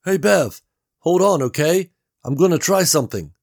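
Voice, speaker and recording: A man's voice: on the high side, animated, moderate pace, voice pushed up. A man voicing Gary, a nervous, eager young man trapped in an air vent, who talks earnestly and runs himself down. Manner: earnest, anxious, self-deprecating.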